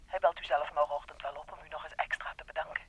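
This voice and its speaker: A woman's voice: sits low, fairly even intonation, fast talker, close to an everyday voice. An actress playing an earnest, emotional woman in an old Dutch radio mystery. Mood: grateful, earnest. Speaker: radio drama actor. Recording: noisy vintage radio recording.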